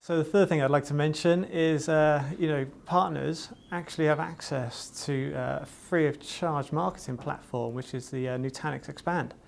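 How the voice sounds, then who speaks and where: A man's voice: fairly high pitch, steady tone, normal speed, creaky, few pauses, slight background noise. A man from Wales, speaking in a corporate promotional interview and leaning on "you know" fillers. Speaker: distributor sales representative.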